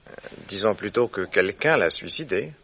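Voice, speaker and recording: An actor playing a keen, courteous gentleman detective in a French radio drama, speaking in a deliberate, theatrical way. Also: lowish voice, animated, breathy edge, heavily acted. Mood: assured, explaining. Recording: dull, muffled old radio recording.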